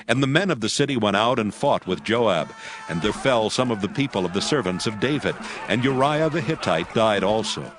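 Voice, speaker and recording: A man's voice: fairly low pitch, expressive, fairly quick, over music. A man reading scripted narration for a dramatized Bible audiobook.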